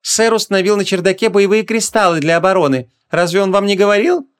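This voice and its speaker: A man's voice: high voice, fast, clean tone, voice pushed up, close to an everyday voice. A man voicing a prim, deferential ghost butler who speaks formally and politely in an audiobook. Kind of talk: audiobook character acting.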